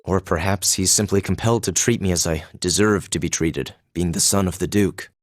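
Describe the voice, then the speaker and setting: A man plays a young duke's son in a fantasy game. The voice is on the low side and recorded very dry. He speaks formally and carefully, with a reserved, cynical edge. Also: fairly even intonation, brisk, no echo, close to an everyday voice. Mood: guarded, bitter. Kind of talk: English-language game voice acting.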